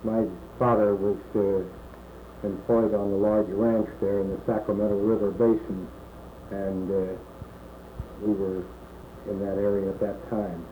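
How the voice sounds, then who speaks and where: A man in his sixties from California, recalling his early life in an oral history interview and pausing often with "uh". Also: fairly low pitch, level tone, warm tone. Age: 60s. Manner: halting, many "uh" pauses. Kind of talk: slow reminiscing answers. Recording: echoey room, muffled phone-like tape with faint hiss.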